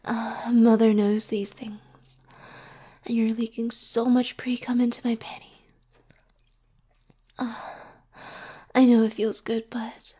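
A woman speaking in a soft, intimate whisper for an audio roleplay, slow and coaxing. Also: a little high, completely flat delivery, slightly rough.

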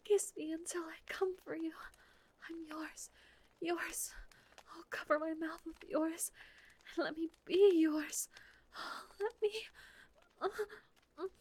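A woman performing an intimate audio roleplay in a breathy whisper, murmuring softly and pleadingly with sighs. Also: very high voice, steady tone, slow, pauses often.

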